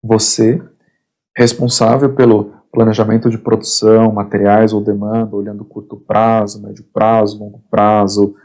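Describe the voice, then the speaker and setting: A man teaching an online course lecture, his voice husky and going hoarse. He explains in short bursts, repeats himself and keeps checking that the listener is following. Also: fairly low pitch, level tone, moderate pace, darkish tone. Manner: explains in short phrases, constant "tá?" check-ins, repeats words. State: getting hoarse. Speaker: instructor.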